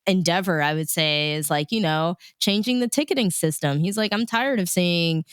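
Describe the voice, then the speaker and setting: An American woman chatting casually in an interview, animated and informal, peppering her talk with "like" and "you know". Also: lowish voice, moderate pace, clean tone, no room sound, clean studio sound. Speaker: radio DJ and music supervisor, interview guest.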